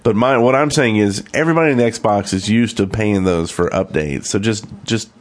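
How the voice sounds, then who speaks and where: A man's voice: lowish voice, normal speed. A man speaking casually and with opinions as a co-host on a gaming podcast.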